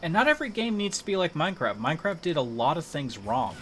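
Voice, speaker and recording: A man's voice: on the high side, very animated, quick pace, fairly bright tone, a little hiss or hum. A man chatting casually and thinking aloud as he streams a video game, in a playful manner.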